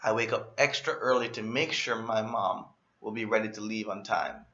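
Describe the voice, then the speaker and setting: A man reading a children's story aloud in an animated, expressive way, as a storyteller. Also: fairly low pitch, normal speed, dull audio. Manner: expressive, acts out emphasis and exclamations.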